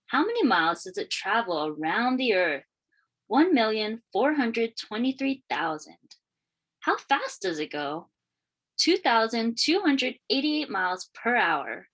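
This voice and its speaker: Lady reading a kids book out loud, highish voice, really sing-songy up and down, expressive. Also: slightly rough. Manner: expressive, questioning lifts and deliberate phrasing. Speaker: read-aloud storyteller, teacher. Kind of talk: children's picture book read-aloud.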